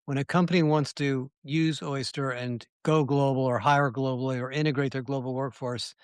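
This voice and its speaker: Smooth-voiced man hosting a podcast. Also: mid-range voice, steady tone, normal speed, dry recording, studio quality. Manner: upbeat, conversational questioning. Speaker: podcast host.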